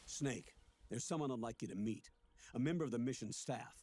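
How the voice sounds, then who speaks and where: Measured older colonel, acted by a man. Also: medium pitch, dark tone, a little hiss or hum, natural voice. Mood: earnest, briefing. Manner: measured, explanatory, authoritative. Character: older male colonel, earnest military mission commander. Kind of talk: video game voice acting.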